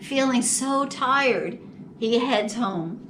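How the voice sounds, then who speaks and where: A woman reading a picture book to young children in a slow, animated, deliberate storytime style. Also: fairly high pitch. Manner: deliberate, animated, drawn-out phrasing. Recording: distant mic, faint background noise.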